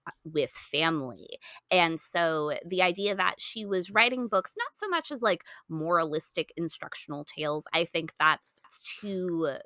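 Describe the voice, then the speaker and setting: A woman's voice: lowish voice, bright, forceful tone, no echo, studio quality. A woman on a podcast panel talking through a film in an articulate, analytical way. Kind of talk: thoughtful film discussion.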